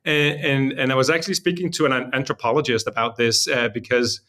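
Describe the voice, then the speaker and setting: A man's voice: mid-range voice, steady tone, brisk, pushed and bright. A man from Denmark speaking as an interview guest and company founder, explaining things in an informal, conversational way.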